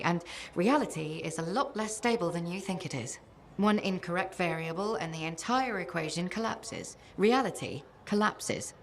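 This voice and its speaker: A woman's voice: medium pitch, a little hiss or hum, close to an everyday voice. A woman playing an intense, cerebral researcher. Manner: clipped, analytical. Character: intense, cerebral female researcher of strange relics. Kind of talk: video game expository acting.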